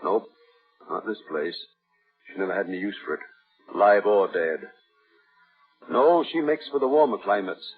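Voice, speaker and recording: A man playing a domineering, quietly deranged husband with a folksy, matter-of-fact menace in a 1950s radio thriller. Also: on the low side, lively intonation, normal speed, long pauses, slightly rough. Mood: eerily matter-of-fact. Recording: tinny old radio broadcast with music.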